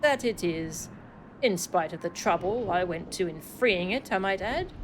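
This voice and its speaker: Lady doing a cold snobby wizard dad, old-timey fantasy game talk, lowish voice, music behind. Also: expressive. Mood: smug, taunting. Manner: archaic, measured, condescending speech. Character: haughty, scornful male sorcerer father, cold and taunting. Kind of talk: theatrical read-aloud of fantasy game dialogue.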